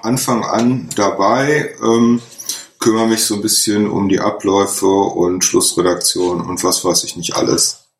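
A man in his forties explains his newspaper project, pausing often on fillers. His voice sits fairly low and the room adds a clear echo. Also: little pitch movement. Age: 40s. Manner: hesitant, many fillers. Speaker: newspaper editor, guest. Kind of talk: casual conversation. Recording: roomy echo.

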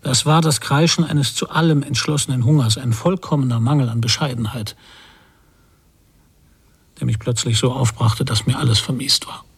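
A man's voice: medium pitch, fairly even intonation, normal speed, breathy edge. An actor playing a weary, irritable emigrant father, brooding bitterly in a literary radio drama monologue. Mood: irritated, brooding. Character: weary, irritable emigrant father, self-pitying, close to snapping.

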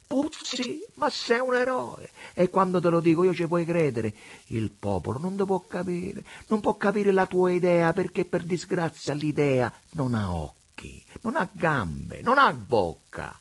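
Man putting on a shrill mocking old vagabond. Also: wildly swooping pitch, measured pace, creaky, hardly any pauses, husky, heavily acted. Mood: ironic flattery. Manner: mock-pious, sweeping high declamation. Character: mocking sly male vagabond, theatrical and ironic.

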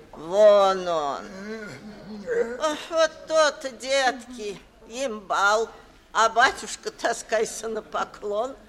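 A woman's voice: mid-range voice, fairly bright tone. An actress playing a bossy, husband-hunting society mother, an imperious and affected princess in a 1950s radio comedy. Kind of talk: 1950s Russian stage comedy acting for radio.

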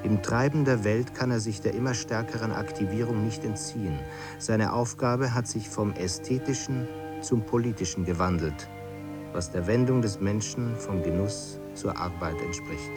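A man reciting literary prose for a radio feature in a deliberate, declamatory manner. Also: fairly low pitch. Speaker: reader of quoted texts. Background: music.

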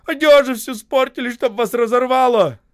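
A man voicing a wily old peasant in a story, shrill and querulous, wailing in folksy exclamations with a breaking voice. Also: moderate pace, dry recording, clean studio sound. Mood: angry, indignant. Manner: wailing, whining, exclamatory folk speech.

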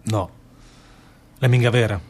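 A man's voice: fairly low pitch, steady tone, a little slow, darkish tone, slightly rough. A man playing an idealistic, defiant prisoner in a radio play, speaking in Milanese dialect. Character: idealistic, defiant male prisoner, terse cellmate. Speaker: stage actor. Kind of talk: radio theatre dialogue in dialect.

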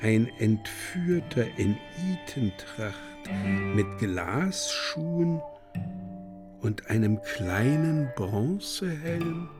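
A grave narrator of a literary radio drama. He sets out dreamlike stage directions slowly and with measured care, at a middle pitch, over music. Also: expressive. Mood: hushed, solemn. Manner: slow, deliberate, measured.

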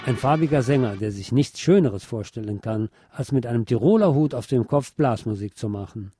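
A man reading a scripted documentary narration in a measured, articulate way. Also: medium pitch, lively intonation, music in the background.

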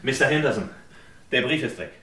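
A man's voice: mid-range voice, expressive, fairly quick, background noise, natural voice. A man playing a polite, earnest young man in a German radio crime play, courteous and matter-of-fact. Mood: agitated, puzzled.

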